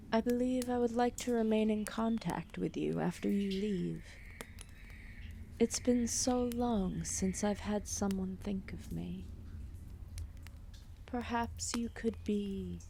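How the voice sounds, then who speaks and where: Woman voicing a slow, creaky, ancient serpent goddess. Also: mid-range voice, dark tone, slight background noise. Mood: wistful, gentle. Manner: slow, soothing, formal, breathy whispered phrases. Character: ancient lethargic serpent goddess, protective, calmly menacing. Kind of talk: ASMR roleplay, intimate soft-spoken acting.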